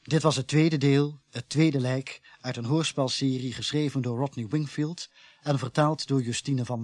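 A man announcing radio drama credits in a formal, measured reading. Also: mid-range voice, darkish tone, no room sound.

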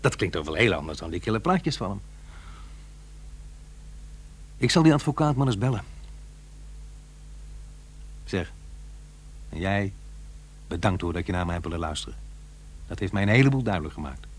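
A middle-aged divorced father, with a lowish, husky voice. He broods and argues with himself in a hesitant, aggrieved way, and his pitch moves up and down a great deal. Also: expressive, brisk, pauses often. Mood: relieved. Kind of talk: naturalistic radio play acting.

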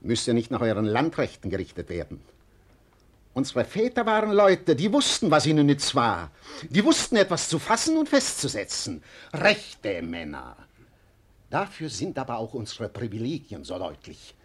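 An actor playing a sly, pedantic agitator in a theatrical 1940s radio play, lecturing and insistent. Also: male voice, mid-range voice, wildly swooping pitch, normal speed, husky. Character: rabble-rousing clerk, sly, pedantic and agitating.

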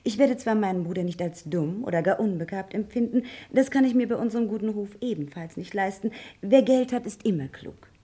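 Radio drama: snobbish daughter, young, reproachful and precise, mid-pitched, mellow and husky. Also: female voice, fairly quick, hardly any pauses. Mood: scornful. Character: haughty, snobbish rich daughter, scolding her brother. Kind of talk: German radio crime play acting.

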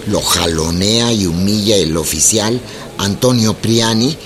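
A man's voice: lowish voice, a little slow, fairly bright tone. A man acting as the solemn narrator of a historical radio drama, reading scripted narration. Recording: music behind the voice.

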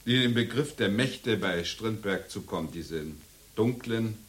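An actor playing a deliberate, drily ironic literature seminar leader in a radio drama, speaking hesitantly with thoughtful pauses. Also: male voice, lowish voice, natural voice. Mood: lecturing, deliberate. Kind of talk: radio drama dialogue, academic discussion.

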